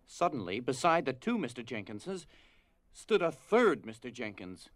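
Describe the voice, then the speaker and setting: A man's voice: medium pitch, sing-song, moderate pace, fairly bright tone, dry recording. A man narrating a scripted story for an audio drama.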